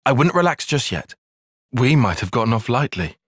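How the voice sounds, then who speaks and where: An actor playing a young soldier strategist in a video game, formal, bookish and wry, easily flustered. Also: male voice, mid-range voice, sing-song, breathy edge, no room sound, studio quality. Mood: measured, analytical. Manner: formal, measured, precise, dryly sardonic. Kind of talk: video game cutscene voice acting.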